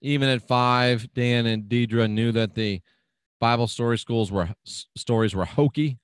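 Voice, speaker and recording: Folksy chatty guy reading comments off a screen, smooth clear voice, super dry room. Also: medium pitch, unhurried, no room sound. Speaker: radio show host. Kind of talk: casual talk reading out live chat comments.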